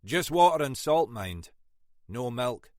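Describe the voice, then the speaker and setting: A man's voice: medium pitch, big pitch swings, takes it slowly, darkish tone, no room sound, clean studio sound. A man voicing an older detective inspector with a wry, teasing way of talking.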